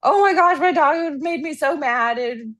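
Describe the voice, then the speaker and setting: A woman in her thirties from Los Angeles with an American accent, chatting as an interview guest, animated and laughing often. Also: high voice, unhurried, dry recording. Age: 30s. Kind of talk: casual interview chat.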